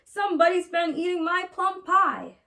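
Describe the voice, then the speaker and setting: A woman's voice: very high-pitched, normal speed, bright, forceful tone, voice pushed up, full character voice. A woman voicing a great big, indignant, growling papa bear from a storybook. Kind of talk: animated storybook read-aloud.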